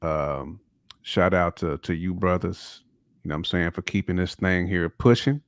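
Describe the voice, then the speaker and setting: American male podcast host, lowish pitch, laid-back, slangy, close dry sound, casual shout-outs. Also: normal speed, dry recording. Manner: laid-back, slangy, peppered with "you know what I'm saying".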